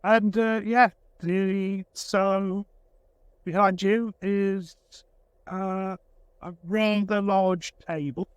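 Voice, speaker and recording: A man talking casually as a craftsman being interviewed, with a thoughtful, halting way of phrasing things. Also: high voice, fairly even intonation, smooth, dry recording.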